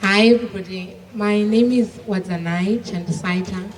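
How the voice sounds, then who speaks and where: A woman in her twenties from Zimbabwe introducing herself as a regional manager, steady, with frequent uhs. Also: medium pitch, normal speed. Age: 20s. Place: conference room. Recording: distant mic, faint room noise.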